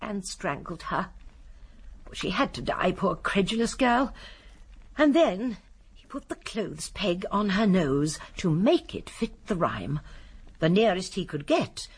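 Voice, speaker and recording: A genteel old English lady who solves crimes in a radio play. Her voice is very husky and swoops expressively. She is prim and courteous, rambling a little, yet shrewdly sure of herself. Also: medium pitch, lively intonation, takes it slowly, heavily acted. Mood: grave, certain. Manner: prim, digressive, precise, gently assured. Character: shrewd, genteel elderly English lady amateur sleuth, politely modest. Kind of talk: BBC radio drama acting.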